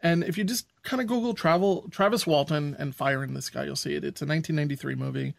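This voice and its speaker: Brief: male podcast co-host, fairly high voice, blunt and opinionated, dry close sound. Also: fast talker, vocal fry, no echo. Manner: frank, opinionated, rambling. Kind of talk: casual chat.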